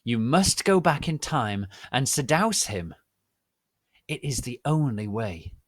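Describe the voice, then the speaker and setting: A man's voice: mid-range voice, big pitch swings, takes it slowly, dry recording, voice pushed up. A man voicing a solemn, commanding female fortune-telling professor in a portentous, emphatic storybook manner. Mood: urgent, commanding.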